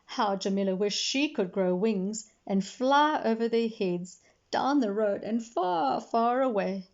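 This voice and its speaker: A woman reading a children's story aloud in an expressive, animated way, acting out the dialogue. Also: on the high side, measured pace, vocal fry. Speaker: storybook reader, librarian. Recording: dull, lo-fi sound.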